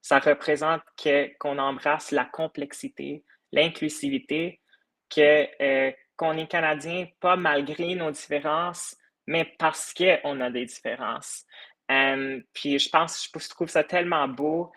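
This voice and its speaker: A man's voice: on the high side, fairly even intonation, takes it slowly, a bit bright. A young man from the United States, a podcast creator talking earnestly in a casual interview, with many hesitations.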